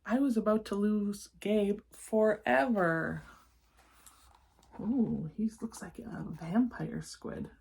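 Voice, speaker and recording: A woman's voice: medium pitch, slow pace, vocal fry, a little mellow, breathy edge. A woman reading a children's story aloud expressively, adding chatty asides for young listeners.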